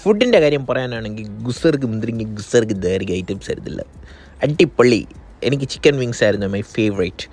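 A man's voice: medium pitch, animated, brisk, darkish tone. A man from Kerala with a Malayali accent, talking casually as a vlogger narrating a day out. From Kerala, India.

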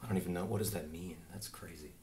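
Young male developer, low-ish voice, relaxed demo talk, filler-heavy, recorded on a desk camera mic in a small home office. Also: quick pace. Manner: easygoing, explanatory, frequent "uh" fillers. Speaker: game developer. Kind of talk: casual demo walkthrough.

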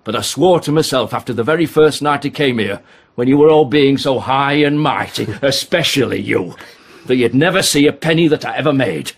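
A man's voice: medium pitch, fairly quick, slightly rough. Blunt, bitter self-made man Ernest. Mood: defiant. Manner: blunt, scornful, menacing. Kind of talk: radio drama acting.

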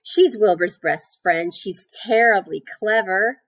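A woman's voice: medium pitch, takes it slowly. A woman voicing an earnest, chatty farm girl in a storybook, explaining things eagerly and guilelessly.

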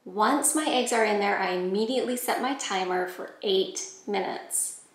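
A woman in her forties calmly walking through cooking steps in a home kitchen, talking to a camera set a few steps back. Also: medium pitch, normal speed, reverberant. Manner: calm, friendly step-by-step instructions. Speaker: cooking vlogger. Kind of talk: cooking tutorial voice-over and talk to camera.

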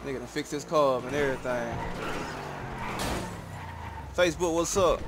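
A man's voice: mid-range voice, normal speed, music in the background. A man chatting casually and profanely in heavy slang as he streams a game. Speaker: gaming streamer. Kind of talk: casual gaming chat. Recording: noisy game audio with engine sounds. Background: game car noise.

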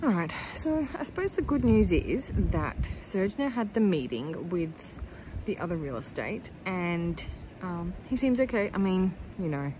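An Australian woman in her thirties talks to a phone held at arm's length in her backyard. Her voice is lively and swings up and down in pitch, and she rambles with lots of ums and likes. There is a steady wash of outdoor noise behind her. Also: measured pace, hardly any pauses. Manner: chatty and rambling, many fillers. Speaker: farm vlogger. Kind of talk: casual vlog chat to camera.

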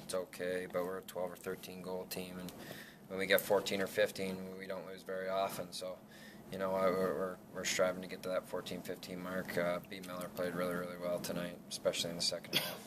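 A young man in his twenties, a lacrosse player, answering reporters after a game in a halting way with many restarts. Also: sits low, completely flat delivery. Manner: halting, many restarts and fillers, laughs self-consciously. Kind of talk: post-game media scrum. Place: press backdrop room indoors. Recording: noisy room ambience.

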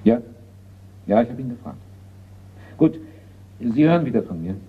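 A man playing a calm, discreet art valuer in a German radio crime play, speaking in a composed, polite, measured way. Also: medium pitch, close to an everyday voice. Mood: discreetly serious. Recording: tinny, narrow-band radio recording, faint noise.

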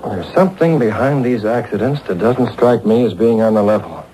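A man's voice: lowish voice, warm tone, close to an everyday voice. Man playing a firm, principled newspaper publisher. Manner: measured, firm, formal. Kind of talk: 1930s-40s radio drama acting. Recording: noisy old-time radio show taped off air.

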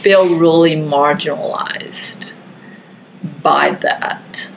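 Slow, gravelly woman. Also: sits low, fairly even intonation, a little hiss or hum, low-quality recording. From Taiwan. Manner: slow, halting, earnest, frequent fillers. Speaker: podcast guest. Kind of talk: casual discussion. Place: home living room.

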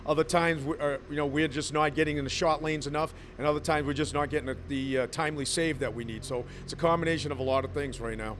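A man's voice: a little high, fairly even intonation, a bit bright, a little hiss or hum. A middle-aged man, a head coach, speaking frankly in a post-game interview into a handheld mic, with frequent uhs. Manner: measured and frank, with many uhs. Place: indoor arena corridor. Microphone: handheld mic held close.

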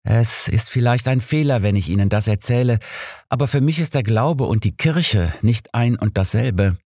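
A man voicing a devout, candid and measured male pastor in an audiobook. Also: mid-range voice, lively intonation, warm tone, dry recording, studio quality, pitched above the performer's own voice. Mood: earnest.

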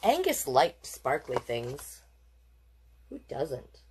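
A woman's voice: a bass voice, huge pitch swings, very slow, darkish tone, a little hiss or hum. A woman hosting a children's storytime, reading picture books aloud with playful, encouraging asides to young listeners. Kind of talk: picture-book read-aloud with chatty asides.

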